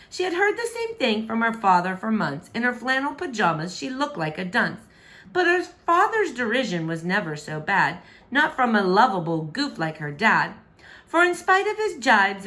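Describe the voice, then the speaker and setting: A woman reading a rhyming children's book aloud in an expressive, rhythmic, dramatic narration. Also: medium pitch. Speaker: storybook reader.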